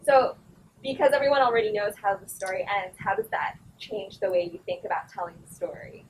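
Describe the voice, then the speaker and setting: A woman's voice: mid-range voice, animated, fairly quick, far from the mic, a little hiss or hum, low-quality recording. A woman from Malaysia, an aspiring journalist, asking an earnest, slightly nervous question from the audience. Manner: earnest, a bit nervous, with fillers.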